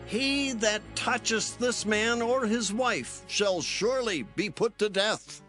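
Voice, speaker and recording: A man playing an imperious Philistine king in a dramatized scripture reading, declaiming in drawn-out phrases. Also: high-pitched, sharp tone, soft background music, exaggerated voice. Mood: indignant, commanding.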